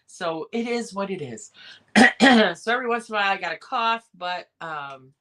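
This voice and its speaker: A hoarse woman with a cough, hosting a casual live sale and chatting in a rambling way with many pauses and restarts. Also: mid-range voice, expressive, sharp tone. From Michigan, USA. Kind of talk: casual live-stream chat.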